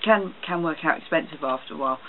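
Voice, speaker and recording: A man giving a casual, halting show-and-tell product review for a vlog. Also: fairly high pitch. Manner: hesitant, halting delivery. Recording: tinny phone-like sound, loud noise, clicks.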